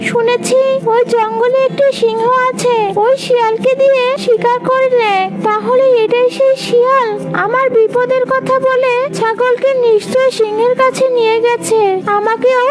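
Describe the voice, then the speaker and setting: Cartoon deer: tiny, extremely high voice, clever, brave, assertive, over music. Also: female voice, little pitch movement, moderate pace, rough recording. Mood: suspicious, determined. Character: clever, brave deer, fiercely loyal friend. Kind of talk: cartoon character voice acting.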